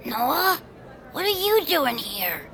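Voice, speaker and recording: A woman voicing an excitable, childlike, anxious amateur stage performer in a cartoonish audio drama, wide-eyed and earnest. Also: high voice, takes it slowly, heavily acted. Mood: tender, earnest.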